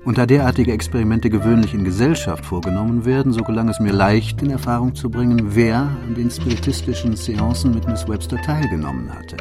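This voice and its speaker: An actor playing a shrewd detective in a German radio play, laying out his case in a deliberate, formal and precise manner. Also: mid-range voice, music in the background, close to an everyday voice. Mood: methodical, assured. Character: shrewd, methodical male detective recounting his solved case.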